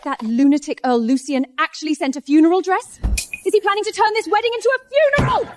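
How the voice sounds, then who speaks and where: A woman's voice: very high voice, quick pace, slight background noise. A woman playing a domineering, middle-aged noble stepmother in a melodramatic period drama, with an imperious, sneering delivery. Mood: indignant.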